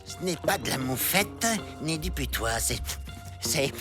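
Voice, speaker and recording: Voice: medium pitch, lively intonation, unhurried, over music, natural voice. A performer playing a pompous, obsessive cartoon pest exterminator with a grandiose, exclaiming delivery. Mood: puzzled, intrigued. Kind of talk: animated cartoon acting.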